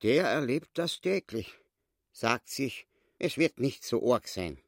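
An actor with an Austrian accent playing a sly, boastful small-time swindler in a radio play, theatrical, gloating and mock-courteous. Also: male voice, mid-range voice, sing-song, slow pace, no room sound, clean studio sound, heavily acted. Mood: sly, urgent.

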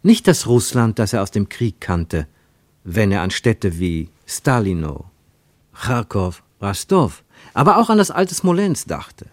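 Expressive male radio-play narrator, swooping intonation. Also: fairly low pitch, a little slow, no echo. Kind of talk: literary scripted narration, German radio play.

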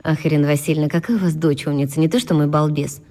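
An actress playing a chatty woman colleague who flatters and grumbles, in an expressive radio-drama manner. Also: sits low, fast. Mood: admiring, grumbling.

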